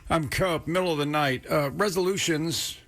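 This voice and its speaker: A man's voice: fairly high pitch, normal speed, fairly bright tone. A man working as a radio DJ, talking in a casual, conversational way between songs on a close studio mic. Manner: chatty, conversational. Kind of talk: casual music radio DJ patter. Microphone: close studio radio microphone.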